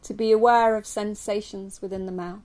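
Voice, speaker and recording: A woman from England leading a guided yoga nidra meditation for a group, speaking gently and slowly with long pauses. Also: mid-range voice. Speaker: yoga nidra teacher guiding a relaxation. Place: live group session at a camp. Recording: steady ambient noise, live recording.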